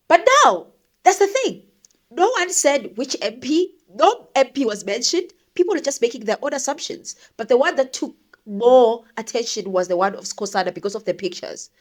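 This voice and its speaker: A woman with a fairly high voice and a South African accent talks through the news as a commentator. She is opinionated and conversational, often thinking aloud with questions. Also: animated, normal speed. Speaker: commentator, show host.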